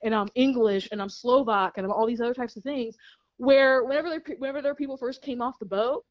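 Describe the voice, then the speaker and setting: A woman's voice: fairly high pitch, fast. Woman talking in a dull, lo-fi recording. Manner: many restarts and repeated words, laughs between points. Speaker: interview guest. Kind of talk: explaining history in casual conversation.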